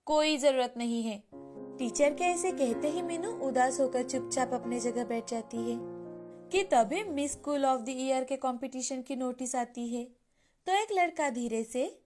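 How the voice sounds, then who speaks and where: A female storyteller narrates a scripted tale for children. Her voice sits high, and background music plays clearly behind her. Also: measured pace.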